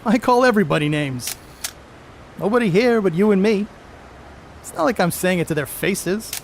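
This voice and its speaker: A man plays a hard-living, jovial sports star in a period radio play. His voice sits fairly high and he talks in boastful, folksy slang. A faint outdoor traffic noise runs behind him. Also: lively intonation, normal speed. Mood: defensive, cajoling. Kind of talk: audio drama acting, 1930s setting.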